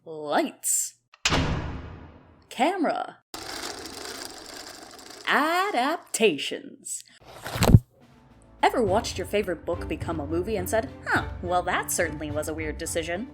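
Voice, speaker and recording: A woman's voice: on the low side, very animated, slow pace, pauses often. A woman narrating a scripted podcast promo in a playful, theatrical way. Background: loud music.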